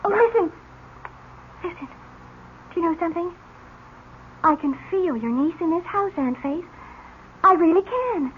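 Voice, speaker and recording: Very high-voiced, sly orphan girl. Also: animated, a little slow, long pauses. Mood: entranced, eager. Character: sly orphan girl psychic, delinquent, manipulative and theatrical. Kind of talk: old-time radio drama acting. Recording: hissy muffled old radio recording.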